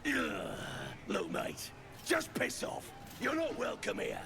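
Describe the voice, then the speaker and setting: A man's voice: very high-pitched, wildly swooping pitch, takes it slowly, creaky, pushed and bright, faint music behind, exaggerated voice. A man voicing a crude, insolent goblin guard in a video game, spitting contempt. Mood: grudging, angry. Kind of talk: video game character acting.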